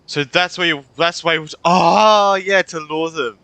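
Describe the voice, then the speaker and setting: A man's voice: a little high, measured pace, bright, forceful tone, clear, resonant tone, no echo. A man giving casual let's-play commentary, thinking aloud, repeating himself and reading game dialogue out. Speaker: gaming streamer.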